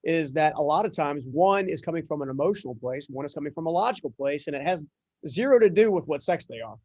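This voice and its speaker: A man's voice: on the high side, expressive, quick pace, darkish tone, husky, no room sound. A man hosting a conversational podcast interview, talking in an earnest, wordy and reflective way. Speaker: interview host.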